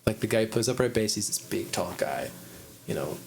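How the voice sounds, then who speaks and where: A man with a Midwestern American accent hosts a relaxed podcast chat. He talks in a low, chest-heavy register, wandering through his thoughts with frequent restarts. A faint hiss sits under the recording. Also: a little fast, gravelly. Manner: rambling, restarts, thinks aloud. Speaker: podcast host, musician. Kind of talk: casual chat.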